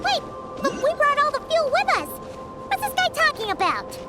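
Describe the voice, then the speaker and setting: Little childlike game companion: squeaky, blurting, nervous, loud music underneath. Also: female voice, sing-song, moderate pace. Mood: puzzled. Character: small, childlike, jittery but chirpy floating companion creature. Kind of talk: video game voice acting.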